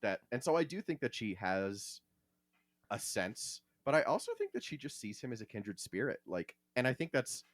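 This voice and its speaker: A man's voice: mid-range voice, very animated, normal speed, dry recording. A man hosting a podcast, giving casual, opinionated takes on fiction in an emphatic, salty-tongued way. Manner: emphatic, profane. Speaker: podcast host.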